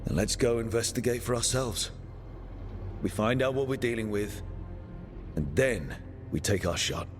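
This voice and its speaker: An actor playing an earnest, steady mage who leads a team in a fantasy video game, speaking in a measured, serious way. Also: male voice, fairly low pitch, faint music behind, lightly acted. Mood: resolute. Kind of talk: cinematic video game dialogue.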